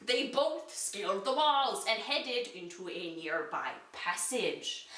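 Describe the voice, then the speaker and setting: A woman giving a deliberate, dramatic reading of an amateur children's fantasy story, like a storyteller. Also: medium pitch, lively intonation, bright, forceful tone, recorded from a distance. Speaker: reader. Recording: echoey room, away from the mic.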